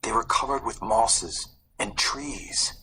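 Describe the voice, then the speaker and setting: Raspy guy reading an adventure story, kinda low, suspenseful and expressive. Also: takes it slowly. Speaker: audiobook narrator. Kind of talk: dramatic scripted storybook narration.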